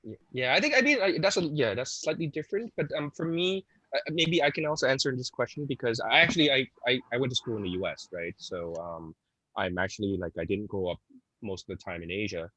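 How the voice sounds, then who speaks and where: A chatty man from Hong Kong moderating a film panel over a video call, his voice lively and bouncing in pitch. He talks loosely, full of fillers and quick restarts. The sound is close and dry, with no room around it. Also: no echo, low-quality recording. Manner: chatty, many fillers and self-restarts, laughs easily. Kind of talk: casual panel talk.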